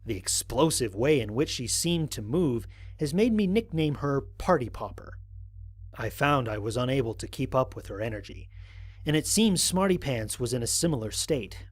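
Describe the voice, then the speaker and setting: A man playing an observant, analytical space explorer, narrating formal mission logs in a measured, precise way for an audio drama. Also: mid-range voice, expressive, lightly acted. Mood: intrigued, measured. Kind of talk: scripted first-person audio drama narration.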